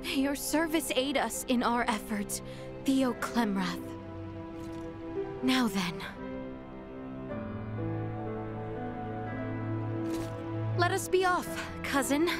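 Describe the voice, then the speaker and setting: Casting: proud young game princess, high and animated voice, formal commanding delivery. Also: female voice, slow. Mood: resolved. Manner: formal, declarative, archaic courtly phrasing. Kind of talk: dramatic video game voice acting. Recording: orchestral game music behind. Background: loud background music.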